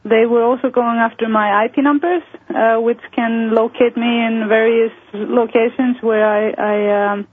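A woman speaks as a phone-in guest, pausing often to search for her words. Her voice is slightly husky and mid-pitched, and it comes through a dry, tinny phone line. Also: fairly even intonation. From Iceland. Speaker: guest, member of parliament. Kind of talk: phone-in interview.

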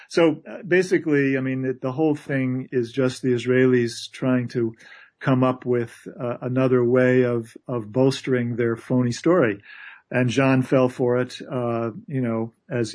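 Halting male guest analyst. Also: medium pitch, a little mellow. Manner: halting, many uh fillers and restarts, dismissive. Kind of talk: interview answer.

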